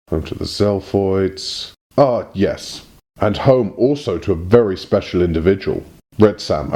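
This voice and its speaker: A man's voice: lowish voice, warm tone, close to an everyday voice. A man playing a pompous, evasive alien messenger in a parody audio drama, with formal, theatrical delivery. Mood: flustered, then grave. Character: pompous, evasive alien Time Lord messenger in smart suit.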